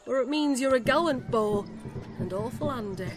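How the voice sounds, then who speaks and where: A woman's voice: fairly high pitch, expressive, normal speed, soft background music, lightly acted. A woman playing a plain-spoken rustic villager in a video game cutscene, folksy and matter-of-fact. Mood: explaining, cheeky.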